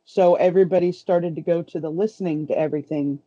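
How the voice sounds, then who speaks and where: A man's voice: on the high side, steady tone, fast talker, crowd noise. A man explaining casually as a podcast co-host, on a dull lo-fi recording. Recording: dull lo-fi sound.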